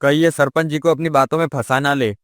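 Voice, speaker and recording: A man's voice: a little high, fairly even intonation, quick pace, a bit bright, close to an everyday voice. A man playing a poor, humble villager in a cartoon story, speaking in an earnest, anxious way. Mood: uneasy. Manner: respectful, earnest. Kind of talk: Hindi cartoon moral-story dialogue.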